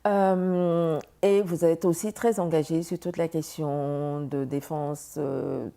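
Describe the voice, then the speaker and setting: A woman's voice: low-pitched, steady tone, slow, vocal fry, dark tone. A woman hosting a TV interview, asking long questions with many hesitations. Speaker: host.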